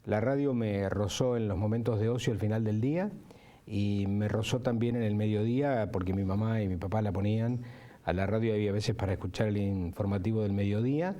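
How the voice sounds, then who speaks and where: A man's voice: lowish voice, a little fast, warm tone. A man with an Argentine accent reminisces reflectively in an interview, often ending phrases with a tag question.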